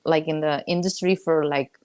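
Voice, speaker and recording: Mexican-accented woman, halting and low-pitched. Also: steady tone, a little mellow, dry recording, clean studio sound. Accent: Mexican Spanish. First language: Spanish. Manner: halting, searching for words, with frequent fillers and restarts. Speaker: coaching guest. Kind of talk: casual conversation in a live video coaching session.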